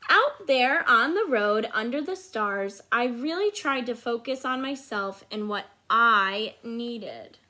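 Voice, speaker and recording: A woman voicing a dutiful, overburdened good egg in a children's storybook, with earnest, dramatic, drawn-out emphasis. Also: fairly high pitch, expressive, bright, forceful tone, heavily acted. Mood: lonely.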